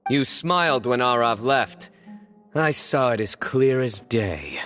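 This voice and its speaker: An actor voicing a stern young male judge in a game, calm and deductive in a measured way. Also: mid-range voice, faint music behind. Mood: accusing.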